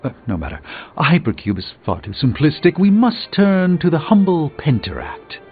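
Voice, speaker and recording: A man's voice: fairly high pitch, huge pitch swings, moderate pace, phone-quality sound, faint music behind. A man voicing an erudite, self-assured middle-aged sorcerer in a video game, speaking in precise, wordy, pompous phrases. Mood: brisk, lecturing.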